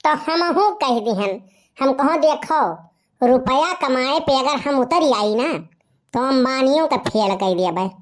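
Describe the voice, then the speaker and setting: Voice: high voice, lively intonation, unhurried. A performer voicing a boastful young village slacker in a comic cartoon story, with a rustic eastern Uttar Pradesh Awadhi accent.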